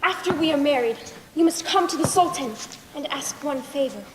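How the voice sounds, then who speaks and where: A woman's voice: sits high, moderate pace, natural voice. A young teenage girl acting a brave, resolute daughter in a school stage play. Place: school stage in a large hall. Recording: echoey hall with background noise.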